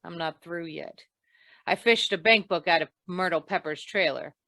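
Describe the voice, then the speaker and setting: A wry tough lady investigator, old radio crime show, clipped delivery, lower voice, no room echo. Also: normal speed. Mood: accusing, cool. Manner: clipped, deadpan, probing. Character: tough, wry female noir insurance investigator. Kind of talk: old-time radio noir acting.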